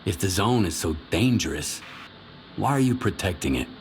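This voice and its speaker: A man's voice: sits low, animated, normal speed, a little hiss or hum. An actor playing a guarded male stalker who asks plain, clipped questions. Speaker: game protagonist.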